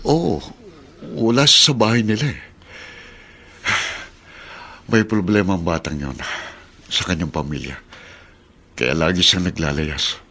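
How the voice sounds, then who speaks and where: A man's voice: deep voice, moderate pace, gravelly, long pauses, pushed and bright, breathy edge, full character voice. A man playing a concerned, protective fatherly figure with a Filipino accent in a radio drama, speaking earnestly and solicitously. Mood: worried, subdued. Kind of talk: Philippine radio drama acting.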